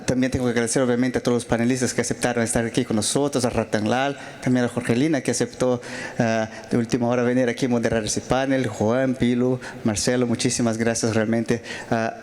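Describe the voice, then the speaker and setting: A man's voice: mid-range voice, a little mellow. A man from Brazil with a Brazilian Portuguese accent speaking Spanish, giving closing remarks at a conference panel with many hesitant fillers and restarts. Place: large auditorium.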